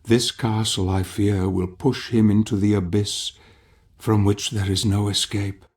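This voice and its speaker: Man performing a solemn gothic narrator, lowish voice, measured, ominous, scripted fantasy game narration. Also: little pitch movement, a little mellow, a little hiss or hum, close to an everyday voice. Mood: grave, foreboding. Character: solemn, foreboding male storyteller of a gothic tale.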